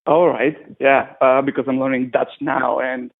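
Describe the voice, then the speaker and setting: A man speaks at an ordinary middle pitch, pausing and restarting as he hunts for the right word. He is a guest chatting over a call. The sound is thin and tinny, like a phone line. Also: flat delivery, vocal fry, slightly rough. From North Macedonia. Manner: hesitant, searching for words with many restarts. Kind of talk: casual interview over a call.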